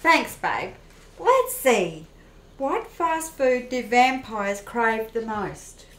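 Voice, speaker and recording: A woman's voice: fairly high pitch, big pitch swings, very slow, background noise, full character voice. A woman playing a party-loving vampire socialite in an amateur radio play. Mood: airy, chatty. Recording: roomy, echoey home recording.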